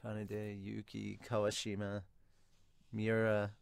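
A man's voice: on the low side, completely flat delivery, slow pace, gravelly, clean tone, no echo. A man in his thirties giving casual reaction commentary as a manga reviewer, informal and exclamatory, breaking off into asides. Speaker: manga reviewer and podcast host. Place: small furnished room at home.

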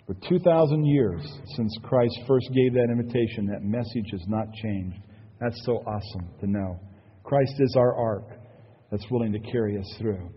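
Pastor guy preaching, kinda husky, long church echo, slightly muffled recording. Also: fairly low pitch, normal speed. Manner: earnest, conversational preaching with frequent "you know". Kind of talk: sermon. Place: church sanctuary.

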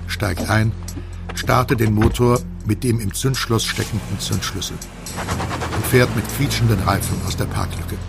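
A man narrating an audio drama from a script in a measured, deliberate way. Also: on the low side, creaky.

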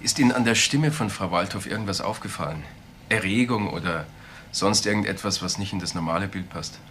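An actor playing a direct, insistent investigator in a German crime radio drama. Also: male voice, sits low, brisk, a bit bright, slight background noise.

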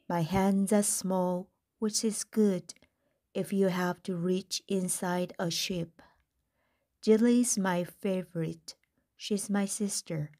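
A woman reading a first-person story aloud in a gentle, deliberate manner. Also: lowish voice.

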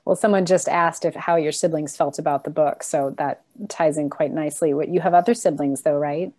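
A woman's voice: low-pitched, fairly even intonation, a little fast, dark tone. A woman moderating a virtual book event over a video call, reading audience questions aloud and chatting casually. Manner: reads questions out plainly, then chats casually.

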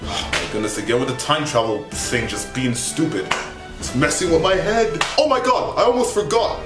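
A young man talking casually to camera in an animated, rambling, exclamatory way, as a vlogger giving a review. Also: fairly high pitch, moderate pace. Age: young adult. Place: small indoor bedroom with bunk beds. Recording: echoey room, music behind. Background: music.

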